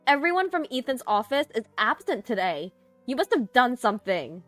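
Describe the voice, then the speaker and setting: A jealous, vain young woman from a storybook drama, acted by a female narrator. She is loud and accusing, pitched well up, with music behind her. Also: very animated, normal speed.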